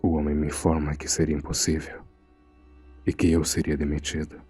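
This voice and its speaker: Guy playing uneasy morgue assistant telling a creepy story, super deep dark voice, grave, music under it. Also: monotone, brisk, slightly rough. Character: uneasy male morgue assistant recounting a frightening secret. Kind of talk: scripted first-person horror narration.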